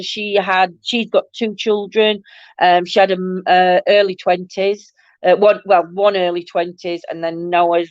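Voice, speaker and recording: A middle-aged woman hosts a casual livestream. Her voice is croaky and a bit nasal, and she rambles affectionately to her viewers. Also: medium pitch, no echo, highs cut off. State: croaky, nasal from hay fever. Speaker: livestream host. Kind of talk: casual live-stream chat with viewers.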